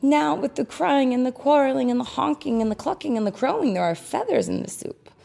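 A desperate poor man pleads in high, cracking cries, as a woman reads him aloud. The voice swoops up sharply and rasps with a husky, gravelly edge. Faint music plays behind. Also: lively intonation, a little fast, warm tone, exaggerated voice. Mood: pleading.

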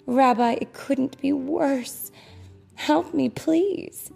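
A woman's voice: very slow, vocal fry, mellow, faint music behind, heavily acted. A woman crying out as a frantic poor man. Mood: pleading. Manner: high, cracking cries. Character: desperate poor old man, panicky, pleading.

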